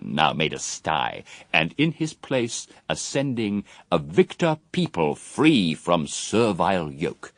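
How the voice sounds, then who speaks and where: An actor playing Satan as a proud, cunning, persuasive fallen angel in a verse radio drama, speaking in a grand, measured, rhetorical manner. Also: male voice, low voice, dry recording, studio quality, heavily acted.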